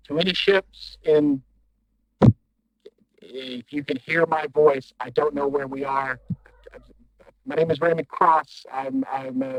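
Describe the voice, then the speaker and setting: A man's voice: on the high side, dry recording, lo-fi sound, lightly acted. A man playing a desperate, shaken corporate agent stranded after a crash, stammering and pleading in a recorded sci-fi distress message.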